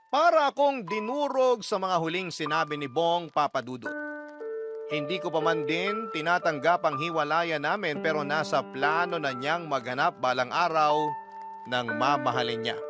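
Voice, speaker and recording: A Filipino man giving a sorrowful, dramatic first-person scripted narration as a radio storyteller. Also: fairly high pitch. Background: music.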